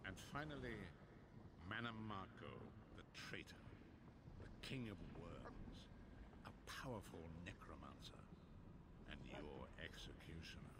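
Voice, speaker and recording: Deep-voiced, solemn old seer. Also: male voice, measured pace. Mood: ominous. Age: older. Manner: solemn, theatrical storytelling. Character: old blind male seer, grave and theatrical prophet. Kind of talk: scripted fantasy game narration. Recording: noisy game capture, ambient sound behind.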